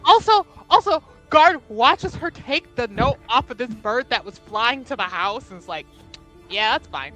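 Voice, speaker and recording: A woman's voice: on the high side, animated, a little slow, fairly bright tone, soft background music. A woman in casual, joking banter as a player in a tabletop roleplaying game.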